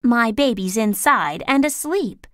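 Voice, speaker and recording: A man voicing a friendly bargeman's wife in a storybook, calm and reassuring. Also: extremely high pitch, measured pace, no room sound, clean studio sound, voice pushed up, exaggerated voice.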